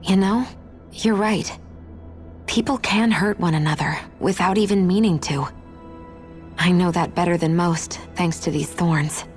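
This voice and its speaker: An actress playing a guarded, reflective young heroine in a video game, speaking thoughtfully and earnestly. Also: female voice, on the low side, unhurried, lightly acted. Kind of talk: dramatic video game cutscene acting. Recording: music playing behind the voice.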